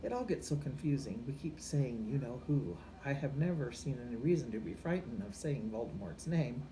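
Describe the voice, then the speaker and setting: A woman voicing a calm, kindly, whimsical old wizard headmaster in a storybook, measured and polite. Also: rumbling low, a little hiss or hum, poor audio. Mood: gently reasoning.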